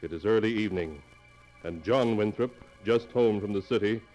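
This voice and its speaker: An actor playing a solemn, portentous host narrating 1940s radio tales of terror in a theatrical, deliberate manner. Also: male voice, fairly low pitch, darkish tone, faint music behind, lightly acted. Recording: cavernous, noisy old radio recording.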